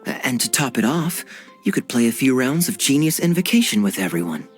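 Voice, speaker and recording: A suave knight captain, charming and relaxed, with a teasing courtesy, voiced by a man. His mid-pitched voice moves expressively, with soft game music underneath. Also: a little fast, natural voice. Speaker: cavalry captain. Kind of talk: video game cutscene acting.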